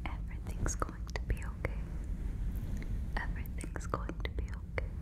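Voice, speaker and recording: A woman's voice: moderate pace, natural voice. A woman voicing a friendly, naive female alien in an ASMR roleplay, whispering breathily and very close to the mic with soothing, stilted, formal phrasing. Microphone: fluffy microphone, very close.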